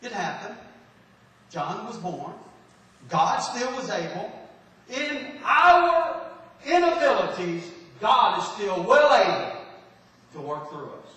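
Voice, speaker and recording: A Texan man preaches in a high voice, folksy and full of restarts, swinging between loud and soft. He is recorded from a distance in a church hall with heavy, lingering echo. A faint hiss sits underneath. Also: sing-song, takes it slowly, pauses often. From Texas, USA. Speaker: pastor. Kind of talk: folksy sermon. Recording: echoey hall from far off, faint noise.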